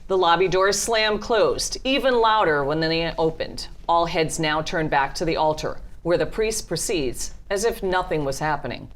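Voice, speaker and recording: A woman's voice: fairly low pitch, moderate pace, few pauses. A woman reading a script's stage directions as narration at a table read.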